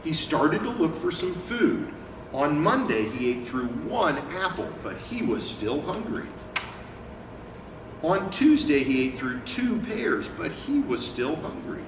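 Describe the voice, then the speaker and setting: A man presenting a picture book read-aloud and talking informally as an educator, with an expressive delivery. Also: a little high, slow. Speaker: educator and book company owner reading aloud. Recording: echoey room, distant mic, clear background noise.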